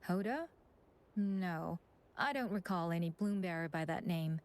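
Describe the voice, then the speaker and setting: An actress playing a composed, courteous chief administrator in a video game. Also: female voice, lowish voice, lively intonation, a little slow. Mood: composed, analytical.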